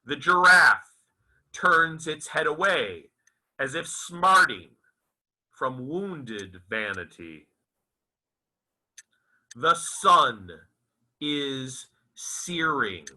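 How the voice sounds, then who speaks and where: A man delivers a dramatic audiobook reading in a fairly high voice that swings expressively up and down. His phrasing is deliberate and broken into short, emphatic pieces, and he moves at a very slow pace. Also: lively intonation, bright, forceful tone, poor audio. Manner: theatrical, deliberate, halting phrasing with emphatic enunciation. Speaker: author reading his own novel aloud. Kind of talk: dramatic literary audiobook recitation.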